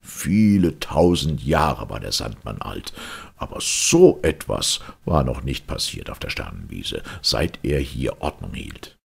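A male audiobook reader tells a fairy tale with great theatrical expression. His voice is extremely low and very husky, with a gravelly creak. The delivery is animated and storybook-like. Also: a little slow.

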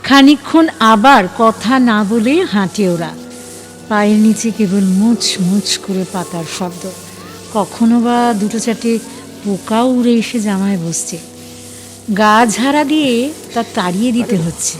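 A woman narrating an audio story in an expressive, dramatic scripted style that builds suspense. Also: mid-range voice, unhurried, soft background music.

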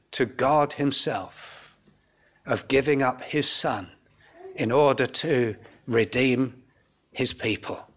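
Older pastor preaching, unhurried pace. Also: male voice, mid-range voice, a bit bright. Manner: earnest, solemn, deliberate with short phrases and pauses. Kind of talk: sermon. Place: indoors, church pulpit. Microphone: lectern gooseneck microphone.

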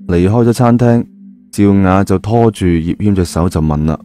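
A man's voice: low voice, normal speed, warm tone, soft background music. A man narrating an audio drama in a colloquial storytelling style.

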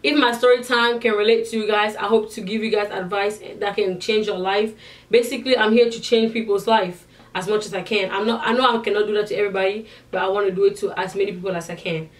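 A woman's voice: medium pitch, steady tone, fast talker, distant mic. A young woman with an accent chatting to her viewers and answering their questions, with frequent restarts. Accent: non-native English accent. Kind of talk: casual Q&A vlog. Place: indoors, in front of a sequined backdrop.

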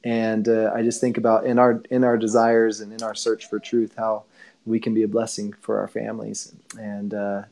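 A man's voice: fairly low pitch, completely flat delivery, normal speed, creaky, darkish tone. A man co-hosting a casual discussion, talking reflectively and hesitantly with many fillers. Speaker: podcast co-host.

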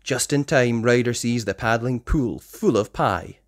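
A person reading a children's story aloud in expressive narration. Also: mid-range voice, unhurried, dry recording, studio quality. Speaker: storybook reader.

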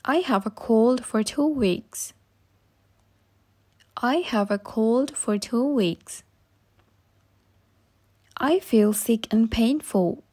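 Woman, English lesson phrases, slow and deliberate, each line repeated, fairly high voice. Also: expressive, darkish tone, husky. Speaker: English teacher. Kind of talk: repeating practice phrases for learners.

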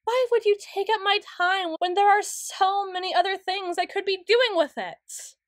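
A woman playing a flustered, prim, studious young tsundere in an ASMR roleplay, speaking in halting, stammering, formal sentences. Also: very high-pitched, dry recording, studio quality. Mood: indignant. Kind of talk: ASMR roleplay, acted monologue.

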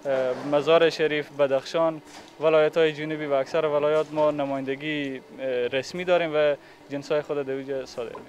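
A man's voice: a little high, little pitch movement, slight background noise. A young male factory representative answers formally. His phrasing is hesitant and slightly muffled by a face mask, picked up on a reporter's handheld mic in a big hall. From Afghanistan. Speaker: factory representative being interviewed. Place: large indoor factory hall. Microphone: handheld reporter microphone held toward him.

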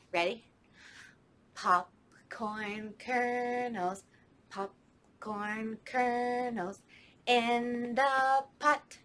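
A woman's voice: a little high, fairly bright tone. A young woman, a children's librarian, leading a storytime with rhymes in a sing-song, playful way, recorded indoors in a library by a camera a few steps away.

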